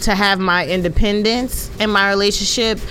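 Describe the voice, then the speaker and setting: Woman, late twenties, medium pitch, emphatic, casual podcast talk, slight background noise. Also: fairly even intonation, normal speed, bright, forceful tone, rough recording. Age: late 20s. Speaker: podcast co-host. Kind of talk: candid casual panel chat.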